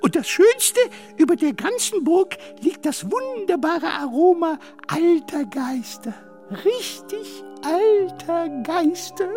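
A tiny, harmless ghost, extremely high and swooping. He savours each word very slowly, with dreamy, excitable theatricality, in a children's radio play. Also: faint music behind, full character voice. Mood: delighted, dreamy. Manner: drawn-out, savouring, theatrical words. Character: sweet, harmless little helper ghost, male, dreamy and excitable. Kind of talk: children's audio drama acting.

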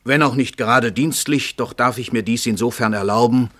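Man acting a pompous, sharp-voiced celebrated crime writer. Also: mid-range voice, normal speed, close to an everyday voice. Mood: pompous, sly. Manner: grandiose, theatrical, commanding declamation. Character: pompous, cold-blooded world-famous male crime writer. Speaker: actor in a radio play. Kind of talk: German radio play acting.

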